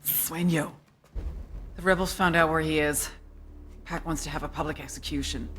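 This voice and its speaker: A ruthless female intelligence agent in a video game. Her voice is low for a woman and she speaks firmly, as someone used to giving orders. There is faint ambient noise behind her. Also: fairly even intonation, normal speed, fairly bright tone. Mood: tense, grave. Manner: firm, blunt, authoritative. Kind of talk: dramatic video game cutscene dialogue.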